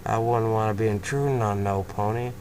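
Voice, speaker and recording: A man voicing an easygoing young male farm pony, reading his lines slowly and relaxed. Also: fairly low pitch, a little hiss or hum, close to an everyday voice.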